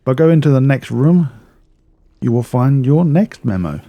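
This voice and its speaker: A man's voice: medium pitch, expressive, moderate pace, highs cut off. A man narrating a video game walkthrough in a casual, instructional way, explaining step by step and often repeating or correcting himself.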